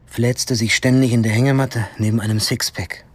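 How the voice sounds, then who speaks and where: A man's voice: mid-range voice, fairly even intonation, moderate pace, breathy edge, no room sound, close to an everyday voice. A man as a sardonic, defiant teenage schoolboy. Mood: dry narrating. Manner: dry, sarcastic, crude slangy wit. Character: sardonic, defiant sixteen-year-old schoolboy telling his story. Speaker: lead actor and narrator. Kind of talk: German radio drama, first-person narration and dialogue.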